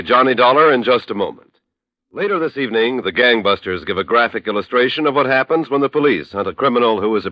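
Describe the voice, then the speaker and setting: A man's voice: medium pitch, no room sound. A man reading scripted announcements as an old-time radio announcer. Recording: dull vintage radio recording.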